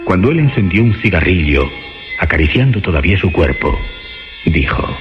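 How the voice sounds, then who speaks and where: A deep, grave narration for a suspense radio play. The delivery is measured and ominous yet richly inflected. It rings with long reverb over faint music. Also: male voice, big pitch swings, muffled recording.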